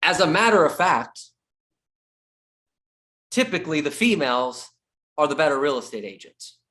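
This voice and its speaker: A man's voice: on the high side, very animated, normal speed, bright, forceful tone. A middle-aged man giving a sales training talk as a real estate coach, emphatic, repeating his key points and joking with the audience.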